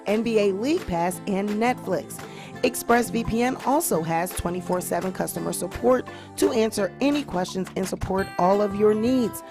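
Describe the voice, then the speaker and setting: A woman hosting her own online channel, talking casually to her viewers in a hoarse voice, addressing them as y'all and you guys. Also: medium pitch. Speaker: YouTube host. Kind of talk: casual livestream commentary.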